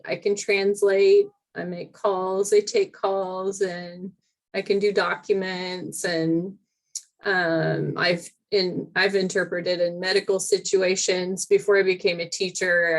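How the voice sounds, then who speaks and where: A woman's voice: mid-range voice, poor audio. A woman guest on a podcast, a teacher and comedian, talking casually and thoughtfully with many fillers and restarts. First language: English. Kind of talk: casual interview chat.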